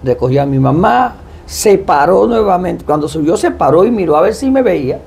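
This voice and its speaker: A man with a Puerto Rican Spanish accent, a pastor speaking as a guest in a devout, deliberate testimony style. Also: high voice, expressive, gravelly, a little mellow, slight background noise. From Puerto Rico. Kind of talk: personal testimony and prayer.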